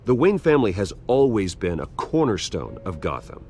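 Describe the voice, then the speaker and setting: An actor playing a guarded, wealthy heir in a video game, with a measured, serious manner. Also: male voice, on the low side, sing-song, darkish tone, slight background noise. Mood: guarded, resolute. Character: wealthy, guarded male heir under public attack.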